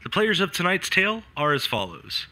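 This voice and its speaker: A man reads out the closing credits in a very bright, forceful tone. His pitch moves in a lively way as he announces. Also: a little fast, rough recording. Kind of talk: reading credits.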